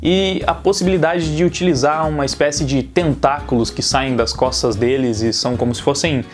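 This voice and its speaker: A man's voice: medium pitch, fairly even intonation, moderate pace. Brazilian man reviewing casually over music. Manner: informal, conversational, slangy. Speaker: YouTube host and anime reviewer. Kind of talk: casual scripted video review.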